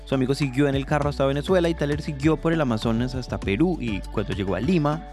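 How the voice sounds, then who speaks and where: A man's voice: mid-range voice, fast, music in the background. A man, co-hosting a podcast and narrating a founder's story in an upbeat way. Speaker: podcast co-host.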